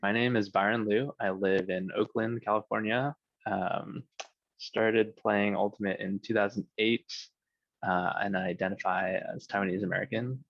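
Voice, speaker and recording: A man's voice: fairly low pitch, fairly even intonation, moderate pace, no room sound. A man introducing himself in a documentary interview, brief and matter-of-fact. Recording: dry lo-fi audio.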